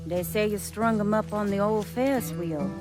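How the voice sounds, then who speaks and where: Woman doing a crime queen for a game, smooth and threatening, careful delivery, normal pitch, clear music underneath. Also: normal speed, close to an everyday voice. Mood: ominous. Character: proud, shrewd, menacing female gang leader. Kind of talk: video game cutscene dialogue.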